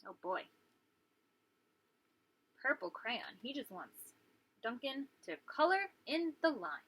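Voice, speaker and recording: A woman's voice: high voice, big pitch swings, slow pace, fairly bright tone, husky, low-quality recording. A woman hosting story time as a preschool teacher, reading aloud and putting questions to young listeners.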